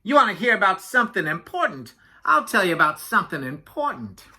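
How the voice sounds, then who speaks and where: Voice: mid-range voice, very animated, fairly quick, bright, forceful tone, pitched above the performer's own voice. A storybook reader voicing a chatty, self-important yak taxi driver who rambles indignantly and obliviously. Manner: rambling, indignant, never lets others speak.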